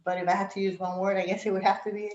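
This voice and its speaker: A woman's voice: medium pitch, flat delivery, racing, darkish tone. A middle-aged woman, raised in Brooklyn and born in Puerto Rico, reminiscing casually as an interview guest, with many fillers and frequent laughs. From Puerto Rico, raised in Brooklyn, New York. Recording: roomy, dull lo-fi sound.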